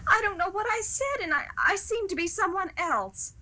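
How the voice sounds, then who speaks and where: A woman's voice: extremely high pitch, lively intonation, normal speed, bright, forceful tone, full character voice. A woman playing a young, conscientious librarian in a 1970s amateur radio drama. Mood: alarmed.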